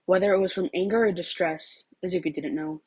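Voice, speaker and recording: Woman reading fanfic aloud, kinda low husky voice, expressive. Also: a little fast. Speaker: fan fiction reader. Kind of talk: expressive narration of a story.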